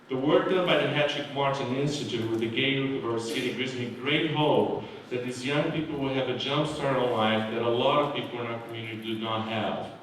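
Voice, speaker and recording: Man, earnest measured speech, middle pitch, booming hall echo, faint background noise. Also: a bit bright, distant mic. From Brazil. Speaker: award honoree. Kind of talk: acceptance speech. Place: large hall. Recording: very echoey hall, mic from afar.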